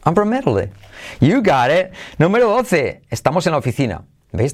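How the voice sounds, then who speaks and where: A man teaching English pronunciation, drilling phrases slowly and over again with playful asides. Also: mid-range voice. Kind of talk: lively pronunciation lesson.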